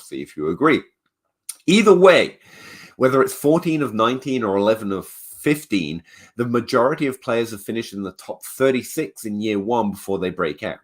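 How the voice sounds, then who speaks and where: A man with a fairly low voice hosts a podcast and lays out numbers step by step. He is measured and a bit dry, and his nose sounds slightly congested. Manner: measured, data-driven, dry humor. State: stuffed-up nose. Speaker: fantasy football podcast host. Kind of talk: analytical podcast talk.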